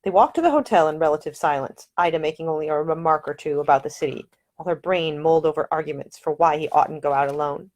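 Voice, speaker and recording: Woman author narrating her novel, calm and even, low-pitched. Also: fast. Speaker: author reading own novel. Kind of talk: scripted audiobook narration.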